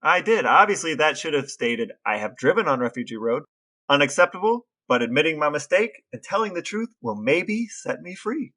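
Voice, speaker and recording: A man's voice: fairly high pitch, sing-song, moderate pace, pushed and bright, rough recording. An American man co-hosting a podcast in a playful, mock-serious way, part dramatic reading and part casual chat. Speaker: podcast co-host.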